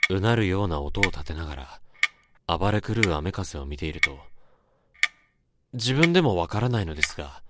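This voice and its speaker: A man's voice: low-pitched, very animated, normal speed, pauses often. A man acting as the haunted narrator of a radio horror drama, recounting an eerie dream in a dramatic monologue. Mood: hushed, wistful. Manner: dramatic, swelling from hushed to intense. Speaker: narrator and main character. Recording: storm and rain noise behind.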